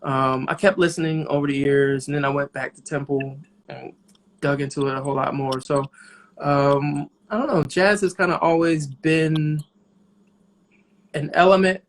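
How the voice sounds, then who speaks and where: A man in his thirties, a jazz trumpeter, talking as a guest in a casual interview in a relaxed, reflective way with many pauses. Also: fairly high pitch, a little hiss or hum. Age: 30s.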